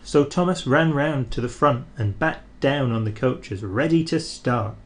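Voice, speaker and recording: A man reading a children's storybook aloud, expressive and measured. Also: medium pitch.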